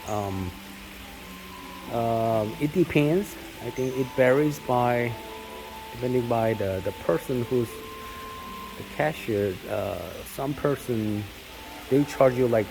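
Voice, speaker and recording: A man's voice: mid-range voice, rough recording. A Korean-born man whose first language is Korean, talking casually to camera with lots of fillers and restarts. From South Korea. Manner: rambling, many fillers and self-corrections. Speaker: vlogger. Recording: steady rain noise in background.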